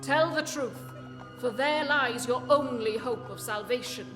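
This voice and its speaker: A woman playing a coolly confident, commanding detective in a radio play, speaking with measured authority. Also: on the high side, natural voice. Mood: stern. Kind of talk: festive radio play acting. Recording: long hall reverb, loud music underneath.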